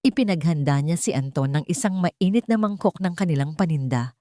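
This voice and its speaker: A woman's voice: lowish voice, lively intonation, fairly quick, mellow, dry recording, studio quality. A woman storyteller giving scripted, dramatic narration of a love story.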